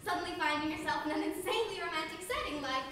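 A woman's voice: very high voice, fast talker, a bit bright, slight background noise. A young woman speaking wryly and theatrically on a school stage, recorded from far off in an echoey hall. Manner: wry, theatrical, switching into a fake French act. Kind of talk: stage musical, narrator then comic French-accented role.

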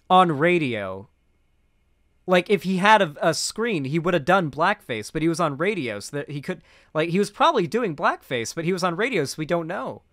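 A young American man with a slightly high voice reacts as a live-streamer. He is indignant and sarcastic, stumbling over words and swearing freely. The sound is close and fairly dry. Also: fairly bright tone, dry recording. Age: 20s. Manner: indignant, sarcastic, halting, profane. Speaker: live-streamer, political commentator. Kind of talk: casual reaction commentary. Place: small home streaming room. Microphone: close boom mic.

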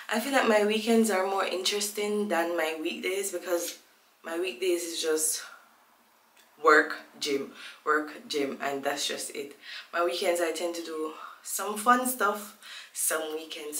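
A woman's voice: lowish voice, fairly bright tone, reverberant. A woman in her twenties from Jamaica, talking casually to the camera in a small room at home, chatty and rambling as she loses her train of thought. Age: 20s. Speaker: vlogger. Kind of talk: casual vlog chat to camera.

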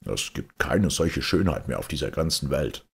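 A man playing a proud castle commander and royal servant in a fairy tale, formal and courtly, with a gravelly, husky voice. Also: extremely low pitch, big pitch swings, normal speed, full character voice. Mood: lovesick.

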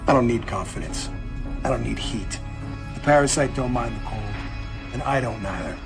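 Rugged folksy, music behind. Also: male voice, medium pitch, lively intonation, moderate pace, gravelly. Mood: wry, resolute. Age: 40s. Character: rugged, plain-spoken middle-aged Marine, dogged and folksy. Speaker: video game character, military operative. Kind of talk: cinematic game acting.

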